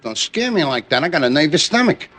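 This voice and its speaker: An actor playing a nervous, wisecracking cab driver in an old radio drama. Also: male voice, a little high, very animated, fairly quick, a bit bright. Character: jumpy wisecracking cab driver, adult male. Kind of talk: 1950s radio drama acting.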